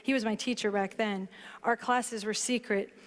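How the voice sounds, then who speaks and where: A woman in her thirties, a book author on a panel, explaining her work in a measured way and reading aloud from it on stage. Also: mid-range voice, fairly even intonation. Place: large hall stage before an audience. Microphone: headset mic. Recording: long hall reverb.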